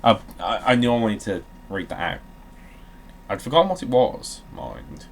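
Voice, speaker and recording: A man's voice: lowish voice, big pitch swings, moderate pace, gravelly, slight background noise. A man hosting a gaming video, talking casually and reading aloud dramatically, with a joking, sweary manner.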